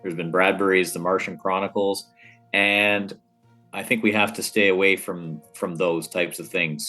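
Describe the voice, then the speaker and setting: A man giving his view in a casual roundtable discussion as a guest, pausing mid-phrase as he reasons. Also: sits low, fairly bright tone.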